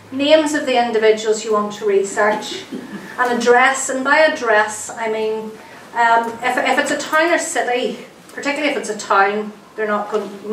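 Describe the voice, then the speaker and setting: A woman, nasal from a head cold, gives a friendly, rambling talk to a room full of people. Her voice sits a bit high and is picked up from across an echoey lecture hall, with a faint hum underneath. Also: fairly bright tone, distant mic. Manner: friendly, chatty with many ums and restarts. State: has a cold, sounds nasal. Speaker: archivist giving a genealogy lecture. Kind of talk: public lecture. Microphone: distant room mic.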